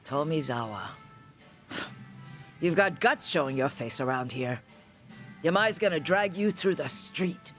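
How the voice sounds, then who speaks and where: A woman's voice: deep voice, animated, measured pace, long pauses, a bit bright, faint music behind. An actress playing a tough, no-nonsense older woman who runs a tattoo shop in a video game, curt and guarded. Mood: curt, wary.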